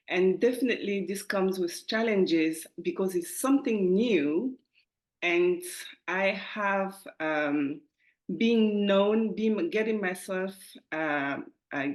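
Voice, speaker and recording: Lower-voiced woman with a Francophone West African accent. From Guinea, West Africa. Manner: enthusiastic, often chuckles while talking. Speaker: podcast guest, entrepreneur. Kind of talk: conversational interview answers.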